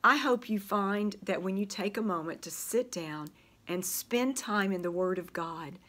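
Slow-spoken older woman teaching scripture. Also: mid-range voice, fairly bright tone. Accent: Southern US. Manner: slow, deliberate teaching with emphasis on scripture quotes. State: voice still recovering from flu. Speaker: Bible study teacher. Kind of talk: church Bible study lesson. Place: church library with bookshelves, indoors.

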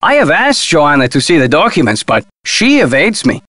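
Proud diplomat, lightly pitched. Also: male voice, big pitch swings, normal speed, fairly bright tone, dry recording. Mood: sly, persuasive. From Mexico. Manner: formal, precise, emphatic. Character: proud, indignant Mexican male diplomat, protective of heritage. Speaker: video game character. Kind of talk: scripted video game dialogue.

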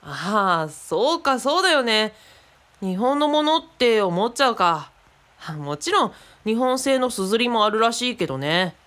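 A woman's voice: fairly high pitch, very animated, a little slow, fairly bright tone. A woman playing a friendly, knowledgeable adult companion in a radio drama, explaining casually and thinking aloud. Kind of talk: conversational radio drama acting.

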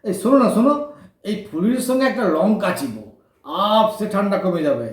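A man's voice: high voice, sing-song, a little fast, distant mic. An actor playing a cheeky, kind-hearted young garage boy with colloquial West Bengal speech, in teasing, slangy audio drama banter. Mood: caring, boastful. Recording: echoey room, off the microphone.

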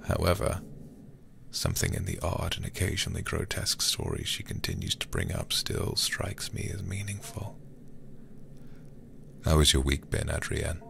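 A man streaming a game as ASMR, reading aloud and chatting softly in an intimate, soothing, breathy way, close to the microphone. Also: a bass voice, wildly swooping pitch, normal speed, a little hiss or hum. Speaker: livestreamer playing horror games.

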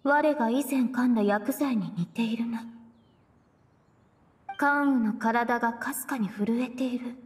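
A woman playing a stoic, blunt, otherworldly young woman in an anime game, speaking formally and deadpan. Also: fairly high pitch, slightly rough. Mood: detached. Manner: flat, formal, deadpan, slow. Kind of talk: Japanese anime game acting.

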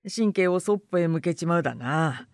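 Woman voicing a gruff, low-pitched rustic male rogue. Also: animated, fairly quick, no room sound, clean studio sound. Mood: sly, explaining. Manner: rough rural dialect, blunt.

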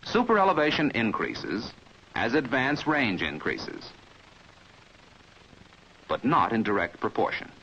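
An American man reading a scripted 1950s training film narration in a measured, precise, didactic manner, as heard on an old film soundtrack. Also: mid-range voice, very animated, pushed and bright, lo-fi sound, a little hiss or hum.

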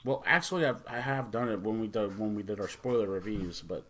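Male review host, middle-aged, slightly deep voice, informal delivery with halting, stumbling phrasing. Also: a bit bright. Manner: halting, stumbles over words. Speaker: host of a movie review show. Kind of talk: casual off-the-cuff review commentary.

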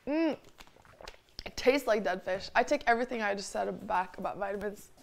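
A woman's voice: medium pitch, normal speed, gravelly. A woman in her late twenties chatting casually on a live stream from her home kitchen, teasing and swearing freely. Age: late 20s. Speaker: streamer co-host.

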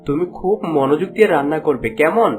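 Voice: medium pitch, fast, over music, close to an everyday voice. An actor voicing an earnest, polite young prince and new husband in a fairy-tale cartoon. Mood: reassuring.

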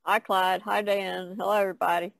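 A woman's voice: mid-range voice, flat delivery, brisk, vocal fry, dry recording. A woman casually introducing herself on a podcast. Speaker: podcast co-host, artist.

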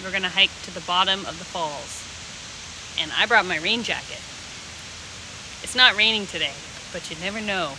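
A young woman vlogging on a camping trip, talking in a bubbly, excited and playful way. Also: on the low side, normal speed, long pauses. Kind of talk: casual chat. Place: campsite outdoors. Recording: noisy outdoor camera audio.

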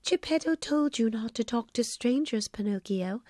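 Woman playing a quiet gentle fairy, storybook. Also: high-pitched, expressive, slow, dry recording, studio quality, pitched above the performer's own voice. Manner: soft, gentle.

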